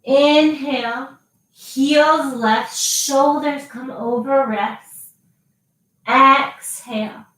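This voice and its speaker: Woman yoga teacher, high voice, very slow, calm cues, echoey room, distant mic. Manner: slow, calm cueing with long pauses. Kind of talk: guided yoga instruction.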